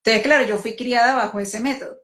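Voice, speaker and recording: A woman born in Venezuela hosting a talk, speaking reflectively and with measured restarts about raising her children. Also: a little high, fairly bright tone. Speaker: host, mother. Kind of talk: conversational talk.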